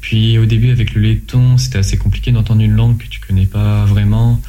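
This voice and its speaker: A man in his twenties from France, answering interview questions in a measured way, often pausing and restarting. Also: lowish voice, level tone, mellow, faint music behind. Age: 20s. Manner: measured, with frequent hesitations and restarts. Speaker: interview guest, volunteer.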